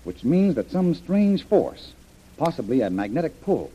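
Hearty adventure hero, husky, animated intonation, vintage radio drama, dull recording. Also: male voice, on the high side, unhurried, full character voice. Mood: intrigued. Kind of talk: old-time radio adventure serial acting. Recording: muffled vintage radio broadcast.

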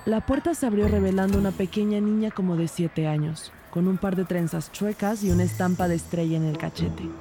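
A woman playing a police investigator who narrates her case in a measured, descriptive way. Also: fairly low pitch, mellow, faint music behind, close to an everyday voice. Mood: measured narration. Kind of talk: scripted first-person narration.

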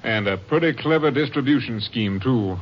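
Actor as husky, businesslike lawman. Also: mid-range voice, lively intonation, normal speed, natural voice. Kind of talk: 1950s radio drama acting. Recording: muffled 1950s radio recording with music.

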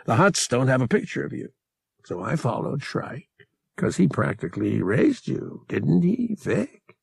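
Man playing a tough, gravelly young bounty hunter. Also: medium pitch, very animated, a little slow, no room sound, voice pushed up, heavily acted. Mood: smug, threatening. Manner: blunt, slangy, commanding.

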